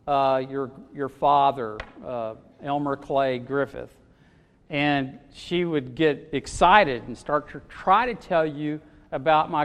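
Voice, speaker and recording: A man preaching a sermon in a loud, expressive way, often pausing with fillers. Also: mid-range voice, clear, resonant tone. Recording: long hall reverb.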